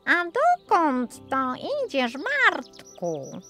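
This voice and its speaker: A woman's voice: sits high, huge pitch swings, extremely slow, bright, forceful tone, faint music behind, pitched above the performer's own voice, exaggerated voice. A woman voicing a gracious, bossy little talking tomcat in a fairy tale, coaxing and commanding with a meowing drawl.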